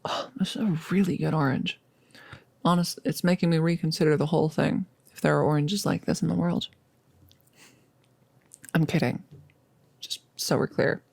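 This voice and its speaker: A woman performs a nervous girlfriend confiding in her partner in an ASMR audio roleplay. Her voice sits low and crackles with fry, in a very dry recording with no room around it. She rambles intimately, halting and hesitating as she opens up. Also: steady tone, a little mellow, dry recording, exaggerated voice. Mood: teasing, nervous. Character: nervous, chatty girlfriend about to become a vampire.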